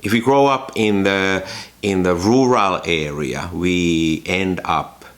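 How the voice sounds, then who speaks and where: A man from Finland with a Finnish accent, hosting a photography channel and reflecting thoughtfully to camera from a room at home, often pausing with ums and restarts. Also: low-pitched.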